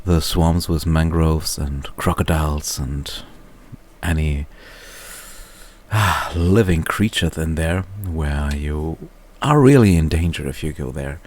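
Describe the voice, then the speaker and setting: Deep male voice, slow delivery, casual reviewer, halting and unscripted. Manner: halting, searching for words, often chuckling to himself. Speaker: reviewer, video host. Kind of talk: casual off-the-cuff book review.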